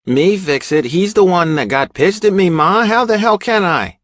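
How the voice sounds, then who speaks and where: Brash young biker woman, played by a man, scolding, animated delivery, dry audiobook sound. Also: high voice, normal speed, dry recording, pitched above the performer's own voice. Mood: irritated, defensive. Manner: barking, scolding. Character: brash, angry young woman, foul-mouthed biker sister. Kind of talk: audiobook character acting.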